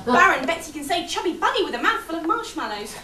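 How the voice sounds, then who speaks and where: A chirpy pantomime servant played by a woman narrates straight to the audience. The voice is high and animated, heard from a distance in an echoey hall. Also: fast talker, slight background noise, heavily acted. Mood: dramatic storytelling. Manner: bright, declamatory, addressing the audience directly. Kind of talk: amateur pantomime stage acting and narration. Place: theatre or hall stage with audience.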